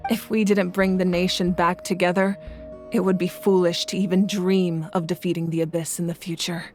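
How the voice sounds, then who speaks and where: A woman playing the calm, grave ruler of a fire nation in a video game, speaking in a measured, formal way. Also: lowish voice, natural voice. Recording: game audio with loud music.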